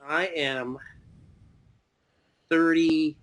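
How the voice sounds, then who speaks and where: A man's voice: on the high side, fairly even intonation, drawn-out words, fairly bright tone. A man in his thirties, a podcast guest, rambling in casual chat with lots of fillers and casual swearing. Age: 30s.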